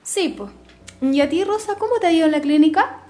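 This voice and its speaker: An actress playing an earnest, idealistic young Chilean daughter of a landowner in a radio drama. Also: female voice, high-pitched, animated, brisk, dark tone. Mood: friendly, settled.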